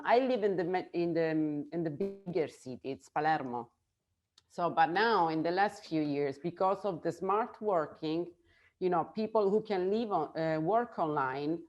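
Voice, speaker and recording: A woman with an Italian accent, a native Italian speaker, chatting on a video call and often pausing to search for words. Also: lowish voice, darkish tone. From Italy. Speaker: guest. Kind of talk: casual video call chat.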